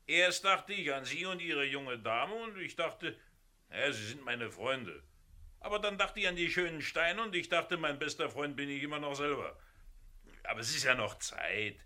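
An actor playing a sly, cheeky turncoat crook in a radio crime drama, insinuating and mockingly respectful. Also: male voice, on the high side, animated, moderate pace, pushed and bright. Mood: sly, unrepentant.

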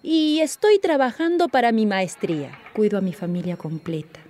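An actress playing a proud, witty mother who narrates her own anecdote in a measured, expressive radio play style. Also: mid-range voice, close to an everyday voice. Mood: proudly professional. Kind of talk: radio drama storytelling.

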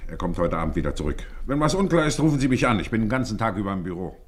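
A fast, deep-voiced police chief. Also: male voice, sing-song, background noise. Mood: businesslike. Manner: curt, commanding. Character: brisk, authoritative male police chief.

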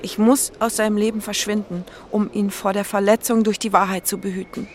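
A woman's voice: mid-range voice, flat delivery, brisk, natural voice. An actress playing a lovelorn, guilt-ridden, melodramatic woman who talks in an agitated, theatrical way in an audio drama. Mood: guilty, bitter. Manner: agitated, theatrical, self-accusing. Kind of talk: audio drama dialogue acting.

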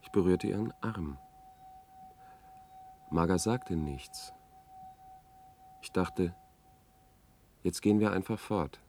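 An actor playing a troubled news editor, recounting events in a measured, reflective way in a 1970s German radio drama. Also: male voice, low voice, warm tone, slight background noise. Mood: pensive. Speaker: radio play narrator.

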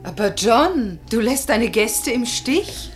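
An actress playing a sociable society hostess in a German radio crime drama, polite and lightly ironic. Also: female voice, a little high, animated, slow pace, soft background music. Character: Maura, sociable hostess, wife of tight-fisted rich man.

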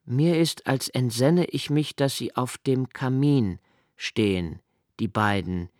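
A brooding lodger voiced by a man delivers a literary radio monologue in a medium-pitched voice. He is obsessively observant and precise, weighing phrases and halting to correct himself. The sound is very dry, with no room around the voice. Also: a little mellow, no room sound, close to an everyday voice. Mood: musing, absorbed. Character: brooding, obsessively observant solitary male lodger, musing alone.

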